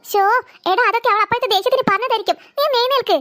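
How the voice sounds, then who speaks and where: Woman voicing a squeaky, insistent child friend. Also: racing, fairly bright tone. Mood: pleading, insistent. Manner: pleading, insistent. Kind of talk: children's cartoon dialogue.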